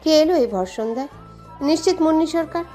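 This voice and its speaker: A woman's voice: high-pitched, lively intonation, fast, dark tone, faint music behind. A woman reading a scripted dramatic story as its narrator.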